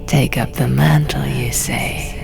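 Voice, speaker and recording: A woman's voice: very deep voice, very animated, slow pace, vocal fry, darkish tone, soft background music, full character voice. A woman voicing a menacing, imperious old man, a scribe posing as a god, solemn and condescending, in an audiobook. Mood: cold menace. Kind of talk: audiobook character voice.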